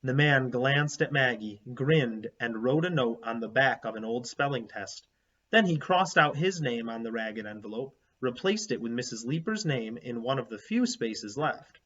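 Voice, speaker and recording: A man's voice: medium pitch, animated, moderate pace, fairly bright tone. A man reading a children's book aloud like a teacher, expressively and with explanatory asides. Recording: slightly dull, lo-fi sound.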